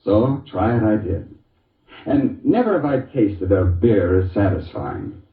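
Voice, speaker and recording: An actor playing a genial, wry husband who tells a folksy, hesitant story in an old-time radio commercial. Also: male voice, on the low side, animated, a little slow, far from the mic. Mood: pleased. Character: genial, wry husband telling beer testimonial. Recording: muffled, echoey old radio recording.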